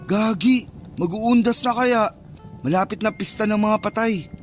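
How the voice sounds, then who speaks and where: A man's voice: high-pitched, measured pace, faint music behind. An actor playing a superstitious, easily spooked young man chatting in slang in a radio drama. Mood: uneasy. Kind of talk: radio drama dialogue, casual banter.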